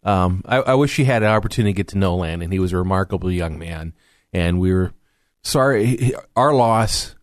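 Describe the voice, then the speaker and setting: A man's voice: deep voice, fairly quick, no room sound. A man hosting a radio talk show from a studio, earnest and rambling with restarts.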